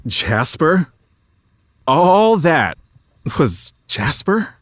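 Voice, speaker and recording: An actor playing a grumpy, sardonic fossil scientist with a hidden grief, in an audio drama. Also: male voice, fairly high pitch, very animated, fairly bright tone, no room sound, clean studio sound, heavily acted. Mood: exasperated, alarmed. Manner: sardonic, halting when emotional. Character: grumpy, wry male fossil scientist, grieving his colleague.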